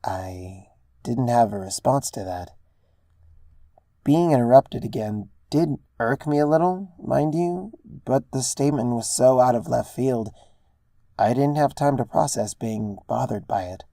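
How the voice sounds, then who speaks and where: Mellow, dry-sounding story narrator. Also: medium pitch, measured pace, gravelly, no echo. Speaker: fan fiction narrator. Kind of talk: first-person scripted story narration.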